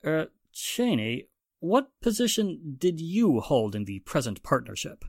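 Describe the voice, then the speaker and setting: An actor playing a skeptical police inspector in a 1940s-style radio drama, deliberate, dry and official in manner. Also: male voice, on the high side, big pitch swings, vocal fry, darkish tone, slightly rough, dry recording, exaggerated voice. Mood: pointed.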